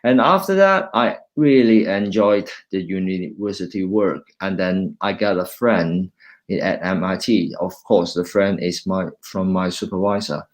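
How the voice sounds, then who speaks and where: Hong Kong academic, middle-aged man, low voice, halting, restarts often, mixes English and Cantonese. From China, raised in Hong Kong. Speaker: university lecturer, guest speaker. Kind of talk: informal talk presenting slides.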